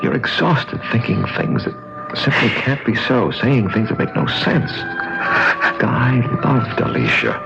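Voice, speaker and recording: A man's voice: medium pitch, sing-song, unhurried, vocal fry. An actor playing a devoted, reasonable man, soothing and persuasive, in an old-time radio mystery. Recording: muffled old radio broadcast, loud music.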